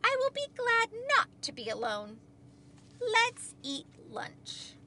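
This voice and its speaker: Woman voicing a cheerful, high-pitched storybook frog. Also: wildly swooping pitch, takes it slowly, pushed and bright, exaggerated voice. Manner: happy, reassuring, sincere. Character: contented, gentle, cheerful male frog, kind friend.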